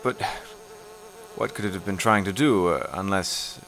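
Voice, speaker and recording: A man playing an inquisitive, educated professor in an audio horror play, speaking politely, formally and a little haltingly. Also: deep voice, a bit bright. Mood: alarmed, baffled. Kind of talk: audio horror drama acting. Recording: insect-like ambient noise behind dialogue.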